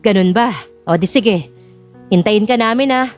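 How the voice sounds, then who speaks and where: Babaeng gumaganap na tusong sakim na tiyahin. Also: female voice, mid-range voice, normal speed, soft background music, close to an everyday voice. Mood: conspiratorial. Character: scheming greedy aunt, casual and sly.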